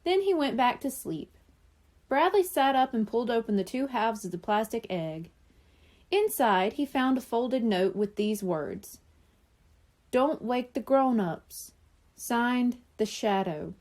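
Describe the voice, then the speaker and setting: High-pitched, lively woman reading a children's story. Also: a little slow. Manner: expressive character reading. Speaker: audiobook narrator. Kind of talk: scripted children's story narration.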